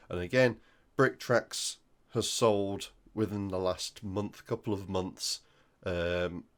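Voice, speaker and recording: A man with a croaky voice from flu, hosting a hobby news roundup in a casual, hesitant way with many ums. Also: low voice. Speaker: hobby channel host.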